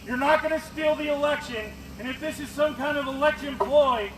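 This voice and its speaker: A man's voice: extremely high pitch, fairly quick. A man giving a confrontational, accusing protest speech through a bullhorn, hammering out his words outdoors. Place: outdoors at a street rally, traffic noise behind. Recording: noisy echoey outdoor street recording.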